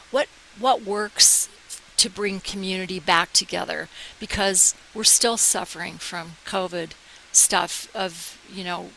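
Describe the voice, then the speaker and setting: A woman's voice: fairly low pitch, sharp tone, poor audio. An older woman with an American accent, reminiscing in a casual, rambling storytelling way as an interview guest. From United States, military family abroad. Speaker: interview guest, organization founder. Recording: clear hiss behind the voice.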